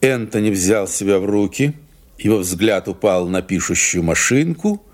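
A man's voice: on the low side, lively intonation, normal speed. A man reading scripted narration as the storyteller of an audio drama. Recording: music under the narration.

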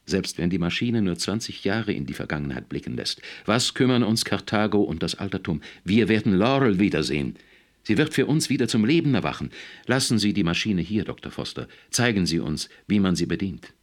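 A man's voice: low-pitched, lively intonation, fairly quick, hardly any pauses. A man voicing a desperate, stubborn, hysterical grieving mother in an audiobook story. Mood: desperate pleading.

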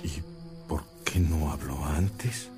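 A man playing a devout, forgiving middle-aged Mexican priest in a radio drama, speaking in a solemn, measured way. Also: rumbling low, darkish tone, soft background music.

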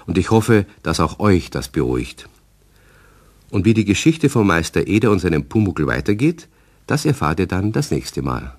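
A man's voice: sits low, big pitch swings, normal speed, darkish tone, husky. A man narrating a children's radio play in a scripted storytelling style, addressing the young listeners directly.

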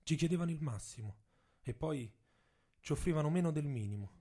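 A man's voice: on the high side, expressive, a little fast, dark tone. A man playing a proud, indignant young American activist professor in a dramatic radio monologue, telling his story emphatically. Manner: emphatic storytelling in the first person. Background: music with instruments.

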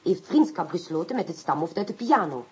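Brainy detective's lady partner, radio play, raspy voice, lots of pitch movement, composed. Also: sing-song, a little fast, darkish tone, full character voice. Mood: reasoning, intrigued. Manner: analytical, drops in French phrases. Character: inspector's female French-speaking partner, analytical and composed.

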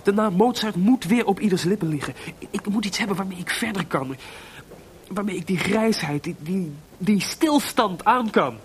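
A man acting in a radio play as an excitable, aggrieved composer short of money, stammering and exclaiming. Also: sits high, normal speed. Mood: frustrated. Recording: noisy radio play recording.

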